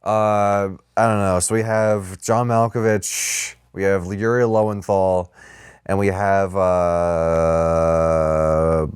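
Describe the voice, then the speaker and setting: Smooth lowish guy rambling casually on a movie podcast, real dry sound. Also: flat delivery, slow, dry recording. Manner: rambling, heavy use of "like". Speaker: podcast co-host.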